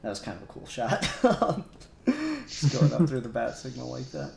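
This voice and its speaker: A man's voice: medium pitch, lively intonation, moderate pace, gravelly, a little mellow, slight background noise. A man co-hosting a casual livestream, muttering to himself and trailing off as he works. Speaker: livestream co-host. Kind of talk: casual livestream chat while working. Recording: echoey room.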